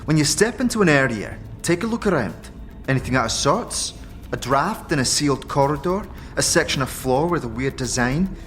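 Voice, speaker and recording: A man's voice: a little high, lively intonation, normal speed, fairly bright tone, faint music behind. A man voicing a wary, greedy, sneering female tiefling thief in an audio drama, full of colloquial slang. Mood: instructive, cocky. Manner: colloquial thieves' slang with inverted, repeated tag phrases. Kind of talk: audio drama character acting.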